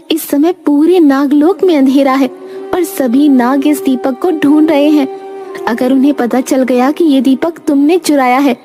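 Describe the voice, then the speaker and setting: A woman voicing an earnest, commanding fairy in an animated fairy tale. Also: sits high. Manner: urgent, explanatory, commanding. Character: earnest female fairy warning a human.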